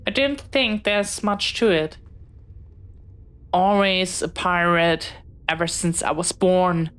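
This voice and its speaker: A woman acting in a scripted audio roleplay as a bookish, dreamy young pirate, jumpy but earnest, speaking haltingly and dramatically straight to the listener. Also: lowish voice, steady tone. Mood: confiding. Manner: dramatic, halting, swinging from theatrical threats to sheepish chatter.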